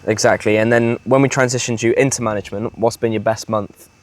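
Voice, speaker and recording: A man hosting a casual interview, asking quick, upbeat questions in a villa. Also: on the low side, steady tone. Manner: upbeat, quick encouraging prompts. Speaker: host and sales mentor. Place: villa, indoors.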